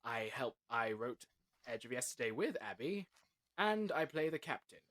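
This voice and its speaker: A man's voice: medium pitch, very animated, moderate pace, a bit bright. A man who writes and acts, chatting casually and earnestly, repeating phrases as he explains. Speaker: writer and actor. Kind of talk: casual cast chat.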